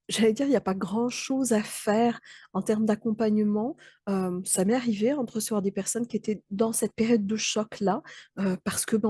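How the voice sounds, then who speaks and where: Woman with a cold, husky and dry. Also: mid-range voice, warm tone, no echo. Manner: thoughtful, hesitant, many fillers and restarts. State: slightly hoarse from a cold. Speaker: mentor and trainer. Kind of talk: explanatory talk to camera.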